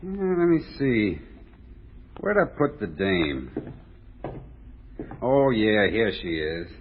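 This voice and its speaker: An actor playing a chatty working-class American morgue attendant in old radio drama, talking in casual rough slang on a muffled recording. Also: male voice, on the low side, very animated, takes it slowly, background noise. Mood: matter-of-fact. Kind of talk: 1930s-40s radio drama acting.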